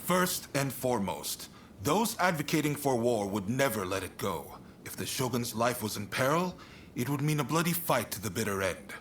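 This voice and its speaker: A man acting an affable, shrewd statesman in a video game cutscene, expressive and earnest but conversational. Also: mid-range voice, moderate pace, a little hiss or hum. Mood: grave. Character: affable shogunate statesman, shrewd negotiator, warm toward his ally. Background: music.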